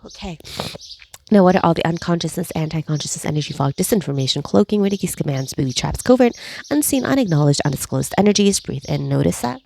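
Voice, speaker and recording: A woman leading a guided energy clearing session, speaking slowly and soothingly, with repeated cues and breathy sighs. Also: lowish voice, expressive, dark tone, a little hiss or hum. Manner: slow, soothing, repetitive guiding with breathy sighs. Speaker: energy healing facilitator.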